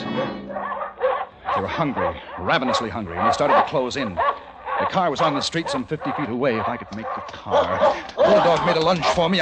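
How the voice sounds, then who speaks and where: An actor playing a haunted, educated young American survivor, narrating intensely in the theatrical style of old-time radio drama. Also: male voice, a little high, poor audio. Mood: rising panic. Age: young adult. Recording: vintage radio recording with music behind.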